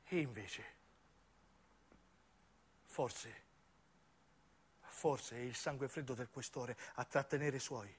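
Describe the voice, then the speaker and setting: A man's voice: medium pitch, takes it slowly, pauses often, a little hiss or hum. A man narrating a dramatic theatrical monologue in intense, solemn, broken phrases. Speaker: narrating stage actor.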